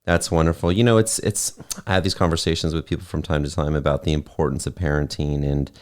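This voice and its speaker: A man hosting a business podcast, asking questions in a friendly, measured way with frequent uhs. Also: a bass voice, fairly even intonation. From California. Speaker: podcast host. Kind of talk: interview hosting.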